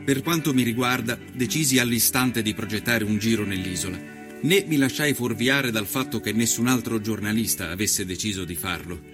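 A man performing an earnest nineteenth-century Italian journalist who recounts his travels in a measured, solemn, literary radio monologue. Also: fairly low pitch, over music, close to an everyday voice. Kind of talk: scripted dramatic narration, radio storytelling.

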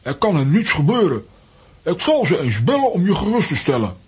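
An actor voicing a breezy, careless, blustering cartoon man with a rough, husky voice in a children's audio drama. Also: a little high, huge pitch swings, brisk, slight background noise.

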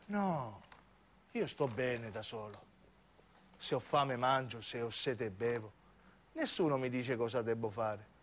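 A man's voice: medium pitch, lively intonation, takes it slowly, pauses often, a little hiss or hum, voice pushed down. A man playing a simple, earnest young country soldier with a southern Italian accent and a rustic, plain-spoken manner.

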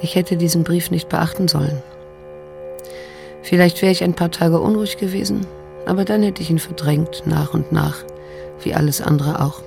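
An actress playing a troubled middle-aged daughter in a radio drama, narrating her inner thoughts in a hushed, brooding, bitter way. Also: on the low side, normal speed, gravelly, music in the background. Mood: haunted, brooding.

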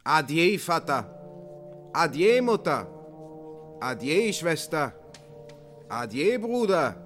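A doomed robber of the radio stage. He speaks in a solemn, drawn-out way with a fairly high, very lively pitch, as if saying farewell to the world. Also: faint music behind, full character voice. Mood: solemn, plaintive. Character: condemned robber saying a theatrical farewell at the gallows. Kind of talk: radio play acting.

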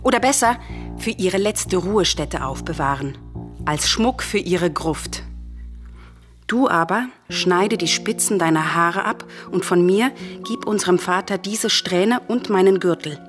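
An actress playing a bitter, unyielding young princess in a classical radio tragedy, declaiming gravely and deliberately. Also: female voice, on the low side, faint music behind, close to an everyday voice. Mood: solemn, pleading.

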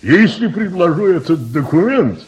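A man's voice: a little high, very animated, moderate pace. A man acting a formal, agitated chairman of an international conference in a radio play, emphatic and official. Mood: solemn, official. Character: agitated male conference chairman calling delegates to order.

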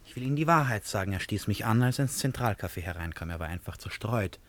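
A man's voice: fairly low pitch, expressive, quick pace, lightly acted. A man acting in a German radio drama as an eccentric, nervous, talkative man, theatrical and swinging between confiding and outbursts. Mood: intense, confiding.

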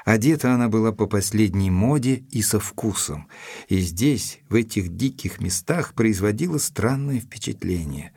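An actor playing an observant, courteous gentleman who narrates an audio drama in a measured, literary, polite way. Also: fairly low pitch, expressive, slightly rough, dry recording, studio quality. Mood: observant, narrating.

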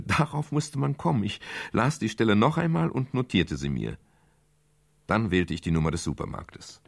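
Deep, gravelly hard-boiled private eye. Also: male voice, dry recording, exaggerated voice. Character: hard-boiled, sardonic male private detective narrating his case. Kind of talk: 1970s German radio crime drama, first-person narration.

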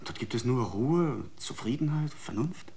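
An actor playing a desperate, insistent idealist in a radio drama, pressing in an urgent, probing way. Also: male voice, mid-range voice, animated, a little slow, creaky, a little mellow. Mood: urgent questioning. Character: desperate, insistent male questioner, idealistic and pleading. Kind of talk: German radio drama dialogue.

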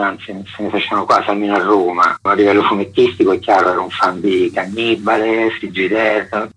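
A man's voice: lowish voice, a bit bright, slightly rough, low-quality recording. A middle-aged man, talking discursively in a casual radio interview, with frequent filler words. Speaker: interview guest, graphic artist.

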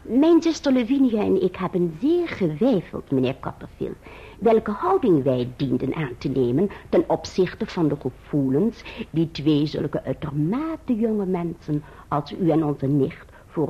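Fussy old maiden aunt, hesitant and formal, swoopy intonation, dull muffled radio drama sound. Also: female voice, low voice, expressive, breathy edge, exaggerated voice. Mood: solemn, hesitant. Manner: formal, halting, sententious.

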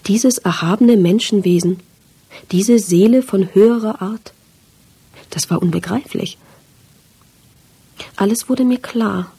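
A woman's voice: medium pitch, slow, pauses often, mellow, breathy edge. A woman reading a literary first-person story as a scripted audiobook narration.

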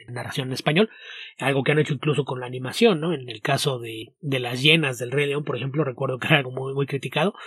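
A man, co-hosting a podcast and explaining his translation work in a steady way, restarting his words often. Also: mid-range voice, slightly rough, no echo. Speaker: podcast co-host, comic translator. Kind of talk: casual podcast chat.

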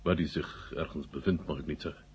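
An actor playing a proud, businesslike Soviet marshal in a 1970 radio drama, speaking with measured, formal authority. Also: male voice, a bass voice, fairly even intonation, darkish tone, a little hiss or hum. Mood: proud, commanding. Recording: dull vintage 1970 radio recording.

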